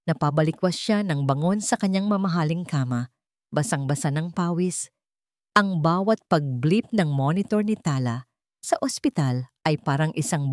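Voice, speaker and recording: A woman with a Filipino accent reads a scripted dramatic story in a measured, deliberate way. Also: deep voice, darkish tone, dry recording, studio quality. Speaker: narrator.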